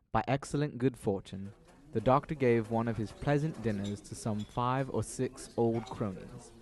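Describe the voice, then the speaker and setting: A man's voice: mid-range voice, a little mellow. A man reading scripted literary narration as the narrator of an audio drama.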